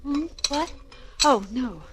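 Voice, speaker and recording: An actress playing an anxious, loyal secretary on the run in a 1940s radio serial, nervous and emotional. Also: female voice, high-pitched, lively intonation, takes it slowly, background noise. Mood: weary, glum. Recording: old 1940s radio recording.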